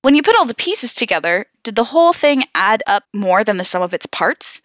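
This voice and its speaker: A woman's voice: on the high side, a little fast, no room sound, studio quality. A woman podcast host reading horror stories aloud, with casual, amused asides. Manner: giggly, animated in asides.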